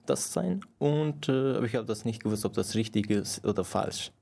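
A man's voice: on the low side, moderate pace, creaky, dark tone, slight background noise. A young man, answering interview questions hesitantly and restarting words as he searches for phrasing. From Iran. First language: Farsi.

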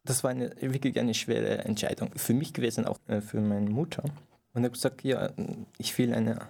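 A young man from Iran whose first language is Farsi, telling his story in an interview in halting German with many restarts. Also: mid-range voice, steady tone, vocal fry, a little mellow. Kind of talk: personal interview account.

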